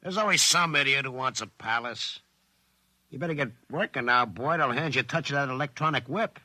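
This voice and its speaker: An actor playing a tough, cynical, world-weary convict laborer in a 1950s radio drama, gruff, slangy and sardonic. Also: male voice, medium pitch, fairly quick, pushed and bright, dry recording. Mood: gruff, commanding. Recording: 1950s radio broadcast recording.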